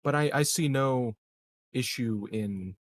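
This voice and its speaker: A man acts out a smooth, scheming colonial official in a science fiction roleplaying game. His voice sits at a middle pitch. He speaks with hesitant, carefully hedged diplomacy and a guarded manner. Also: a little hiss or hum, natural voice. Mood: guarded, persuasive. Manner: hesitant, hedging, measured politician's talk. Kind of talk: improvised tabletop roleplay.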